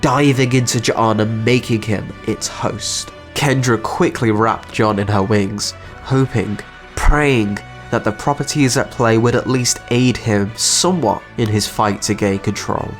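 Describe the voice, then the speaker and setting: A man's voice: lowish voice, a little slow, faint music behind. A man giving scripted narration as the narrator and creator of a story channel.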